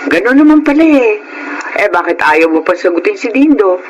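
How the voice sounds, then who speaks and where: Woman acting as nosy mother, middle-aged, very lively intonation, prodding, radio drama, noisy recording. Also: medium pitch, normal speed, lo-fi sound, natural voice. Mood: prodding, curious.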